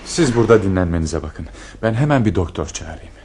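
A noisy old radio drama recording of an anxious railway station master. The voice swings widely, theatrical and soft-hearted, able to bark orders or muse quietly. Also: male voice, low-pitched, lively intonation, a little fast. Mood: gentle, concerned. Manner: theatrical, swinging between barked orders and hushed musing. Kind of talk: Turkish radio drama acting.

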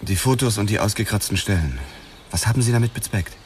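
A man's voice: on the low side, fast, slight background noise, natural voice. An actor playing an insistent male police detective, questioning a witness urgently in a radio drama. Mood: probing. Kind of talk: dramatic radio play dialogue.

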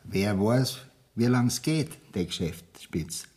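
An actor with a Bavarian accent playing a cautious, insinuating innkeeper in a radio play. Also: male voice, lowish voice, animated, takes it slowly, a little mellow. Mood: doubtful, insinuating. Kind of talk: radio play acting in dialect.

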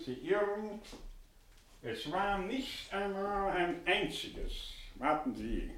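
A cynical, theatrically swooping professor. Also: male voice, fairly high pitch, fairly bright tone, slight background noise, full character voice. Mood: pensive. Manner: theatrical, mocking, oratorical, dramatic pauses. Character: cynical, intellectual older male professor, bitterly eloquent, domineering. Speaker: actor in radio play. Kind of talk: 1960s German radio drama acting.

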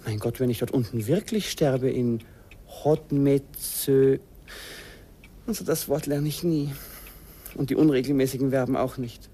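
An actor playing a naive, eager nineteen-year-old student who easily gets flustered, in a radio play. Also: male voice, medium pitch, fairly even intonation, unhurried, mellow, husky. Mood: anxious. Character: naive, nervous young male student.

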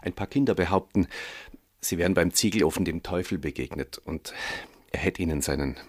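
Radio play teacher: deep, dry, fussy and hesitant, as if reporting scandal. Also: male voice, brisk, no room sound. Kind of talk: radio drama acting.

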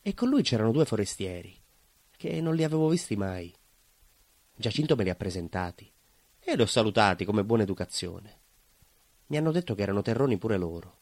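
A man's voice: fairly low pitch, sing-song, a little fast, pauses often, a little mellow, no room sound. A man playing a nostalgic, naive, proud neighbourhood baker in a theatrical monologue, with a southern Italian accent and a colloquial, rambling, emphatic way of telling. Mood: naive storytelling. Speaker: stage actor.

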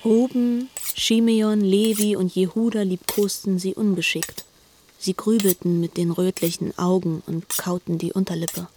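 Mellow female narrator. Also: mid-range voice, fairly even intonation, unhurried, a little hiss or hum. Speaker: narrator of a radio drama. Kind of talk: literary scripted narration.

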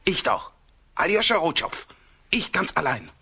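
An actor playing a sly, boastful red-haired busybody who poses as a friend in a children's radio drama, with a wheedling, self-important delivery. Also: male voice, on the high side, big pitch swings, unhurried, pushed and bright, slightly rough, heavily acted. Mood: indignant, boastful. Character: sly red-haired meddling village man, needling false friend.

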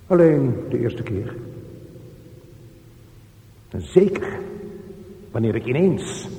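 An actor playing a neurotic, self-berating train commuter in a radio drama, muttering intensely to himself in fragments. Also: male voice, medium pitch, warm tone. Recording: distant echoey reverberant hall, heavy noise at times.

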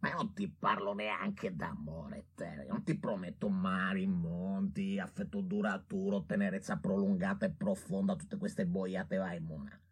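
An actor playing a cynical, self-satisfied young doctor with a Milanese accent, wheedling and slangy in a radio comedy. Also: male voice, low voice, fairly even intonation, unhurried, hardly any pauses, husky. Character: pleading, cynical, foppish young northern doctor. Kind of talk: theatrical radio comedy acting.

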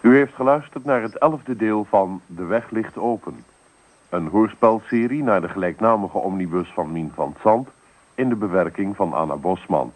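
A man's voice: on the low side, moderate pace, darkish tone. A man reading a formal, scripted closing announcement for a radio broadcast.

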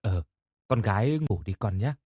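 Man acting a hoarse, worried older father. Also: lowish voice, animated, moderate pace, dry recording, studio quality. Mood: gentle. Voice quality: hoarse, warm. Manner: gentle, concerned. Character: warm, worried older father comforting his grown daughter.

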